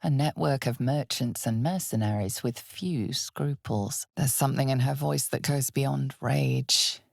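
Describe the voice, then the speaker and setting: A woman performing a solemn, ominous narrator for a dark fantasy game, with a husky, gravelly voice and a measured, deliberate delivery. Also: no echo, clean studio sound, exaggerated voice. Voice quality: husky, breathy, gravelly low voice. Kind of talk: scripted dramatic fantasy game narration in second person.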